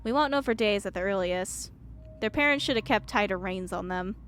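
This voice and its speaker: A woman's voice: medium pitch, lively intonation, fairly quick, a bit bright, slight background noise, natural voice. A woman voicing a curt, weary, guarded woman from a story game, terse and dismissive. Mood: bitter.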